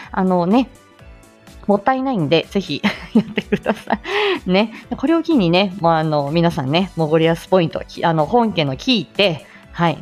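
A woman's voice: on the low side, darkish tone. A woman hosting a casual live audio show, chatting with and greeting listeners and laughing often as she talks. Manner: laughs often while talking.

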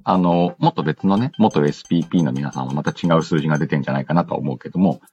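A man's voice: very deep voice, very fast, a little mellow, muffled recording. A man hosts a casual radio-style show, reading listener messages aloud and adding playful quips. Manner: playful quips and retorts to what is read. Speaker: host of an audio show reading listener letters.

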